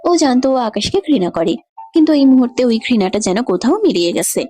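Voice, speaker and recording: A woman's voice: fairly high pitch, big pitch swings, brisk, mellow, slight background noise. A woman giving scripted narration of a romantic story.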